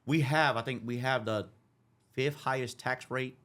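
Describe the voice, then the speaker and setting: A middle-aged man from Dallas, a podcast guest talking casually, with lots of restarts. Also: medium pitch. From Dallas, Texas. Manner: fast, repetitive restarts, animated. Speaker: interview guest. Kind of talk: casual conversation.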